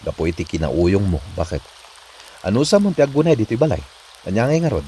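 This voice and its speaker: A man's voice: lowish voice, sing-song, brisk, dark tone. A man with an Ilocano accent playing an exasperated, grumbling husband in a comedy drama, on a noisy recording. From Philippines. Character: exasperated husband grumbling at his strict wife.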